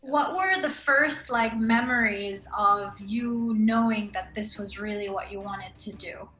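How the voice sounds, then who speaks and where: Upbeat, bright young woman host. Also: medium pitch, a little slow. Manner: upbeat, brief encouraging backchannels. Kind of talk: casual interview hosting. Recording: echoey room with music.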